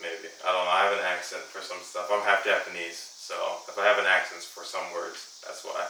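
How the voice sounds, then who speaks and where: Deep-voiced twenty-year-old man, echoey room. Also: flat delivery, a little fast, bright, forceful tone, slight background noise. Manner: loose and rambling, many fillers. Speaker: podcast host. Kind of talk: casual chat. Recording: echoey room, mic at a distance.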